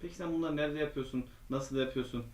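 A man's voice: on the high side, fairly even intonation, quick pace, darkish tone. A man working as a local news reporter, asking informal interview questions.

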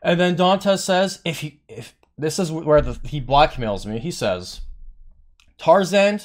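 A man's voice: on the high side, takes it slowly. A man streamer ranting to his chat in casual talk, swearing often and acting out what others said to him. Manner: heated, petty, swearing, quotes others in raised voice; says he has a monotone voice.

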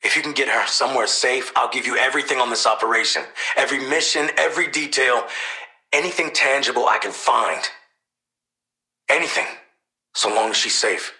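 A tough video game assassin with a wry, streetwise edge. His voice is very bright and forceful, mid-pitched, and rings in a roomy space. He is a sardonic gunman and protective dad. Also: fairly quick. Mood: urgent, worried.

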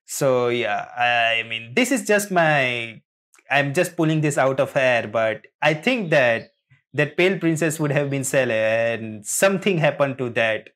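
Slow-paced man reacting casually. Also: medium pitch, expressive, a bit bright. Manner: rambling, halting, chuckling often. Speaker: reaction video host. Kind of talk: casual reaction commentary and fan theorizing.

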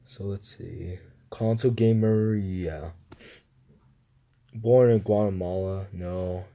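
A young man reading guessing-game questions aloud and answering them in a low-key, mumbled way, recorded on a phone. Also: steady tone, slow, warm tone. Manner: mumbled, low-key answers, livelier when starting a new round. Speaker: gamer playing a guessing game. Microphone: phone screen recorder.

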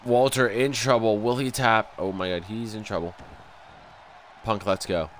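A man's voice: fairly low pitch, unhurried, slight background noise. Stuffy-nosed young man calling a wrestling game. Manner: excitable, bursts of loud exclamations. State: stuffy nose. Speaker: gameplay commentator. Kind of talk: excited play-by-play over wrestling game.

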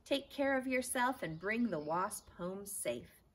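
A woman's voice: mid-range voice, animated, slow, slightly rough, voice pushed up. A woman voicing a blustery, proud grown man who owns a toy schooner, in an emphatic, bossy way, in a children's storybook. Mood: hearty. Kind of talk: children's storybook read-aloud. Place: outdoors in a backyard garden. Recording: outdoor ambient noise.

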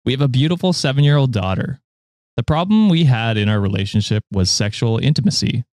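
A man in his late twenties hosts a podcast in a casual, conversational way. He reads posts aloud in an ordinary mid-pitched voice, recorded close and dry. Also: animated, a little fast, darkish tone, no echo. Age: late 20s. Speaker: podcast host reading stories. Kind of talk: casual chat and reading online posts aloud.

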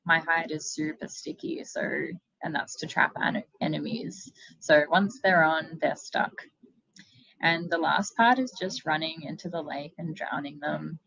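A woman's voice: sits low, unhurried, gravelly, a bit bright, slightly rough, no room sound, full character voice, rough recording. A woman voicing a chatty, bloodthirsty water-horse monster in a comic reading, breezy and friendly. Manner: breezy and friendly, turns menacing.

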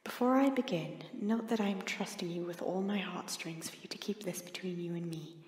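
A young woman playing an earnest princess who confides a secret, reading a letter aloud in a measured, sometimes breathy way for an audio story. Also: fairly low pitch, darkish tone, natural voice. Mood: confiding. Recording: echoey, long reverb, hobby audio drama.

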